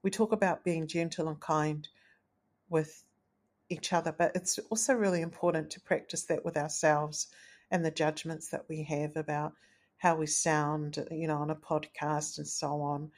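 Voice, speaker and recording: Woman podcaster with a low tenor-ish voice, earnest and gentle, kind of low pitched. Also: flat delivery, moderate pace. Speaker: podcast host. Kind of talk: reflective solo podcast talk.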